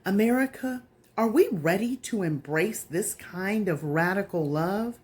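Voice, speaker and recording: Slow-paced woman reading an open letter. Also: mid-range voice, lively intonation, darkish tone. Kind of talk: earnest spoken-word reading of an open letter.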